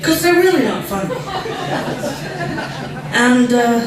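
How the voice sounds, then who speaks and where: A woman giving a roast speech with a loud voice and a dry, sarcastic delivery. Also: a little high, expressive, takes it slowly. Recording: echoey hall from far off with crowd. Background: laughing audience.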